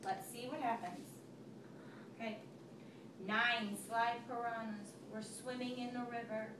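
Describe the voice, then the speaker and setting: Woman teacher, high voice, expressive read-aloud with questions, echoey room heard from a distance, background noise. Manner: expressive storytelling, pausing to ask questions. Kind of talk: reading a picture book aloud to a child. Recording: echoey room, recorded from far off, noisy.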